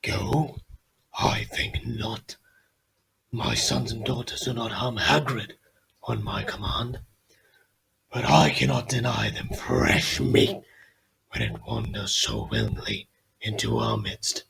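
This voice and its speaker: Casting: male actor as a menacing old giant spider for audio drama, heavily creaky, low-pitched, solemn. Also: sing-song, slow, pauses often, fairly bright tone, a little hiss or hum, heavily acted, low-quality recording. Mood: coldly menacing. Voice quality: gravelly, creaky. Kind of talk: fan audio drama acting.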